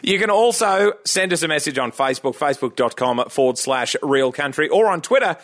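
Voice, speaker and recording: A man with a croaky, hoarse voice, hosting a radio show in a chatty, upbeat way while out on a busy festival street. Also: fairly high pitch, animated, a little fast, a bit bright, dry recording, clean studio sound. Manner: chatty, upbeat presenter patter. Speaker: radio host. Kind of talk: casual radio presenting on location.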